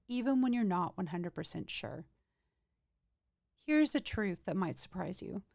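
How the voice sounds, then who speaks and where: A woman's voice: mid-range voice, darkish tone, dry recording, clean studio sound. Upbeat motivational woman podcast host. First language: not Swedish. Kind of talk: scripted podcast monologue.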